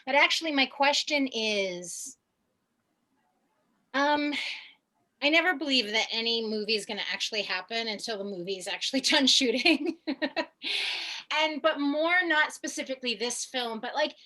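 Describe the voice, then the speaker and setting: A woman chatting casually on a video call as host, with an effusive, excitable and gushing way of talking. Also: high-pitched, moderate pace, long pauses. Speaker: host of a tarot gathering.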